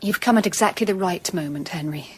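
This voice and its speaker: A woman playing a gentle, quietly composed young Victorian lady in a period radio drama, soft and genteel. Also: lowish voice, quick pace, slight background noise, close to an everyday voice. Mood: quiet sorrow.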